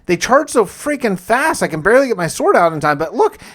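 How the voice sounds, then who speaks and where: A man with a fairly high-pitched voice narrates a survival game as first-person roleplay. He talks casually and confidingly, as if keeping a personal log, using mild everyday exclamations. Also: big pitch swings, fairly quick, fairly bright tone. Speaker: gamer narrating his survival challenge.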